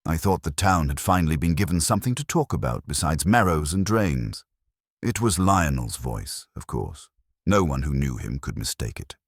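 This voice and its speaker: A man's voice: rumbling low, expressive, normal speed, dry recording, studio quality, exaggerated voice. A man voicing a composed, wry and self-assured woman under suspicion in a mystery story. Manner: wry, poised.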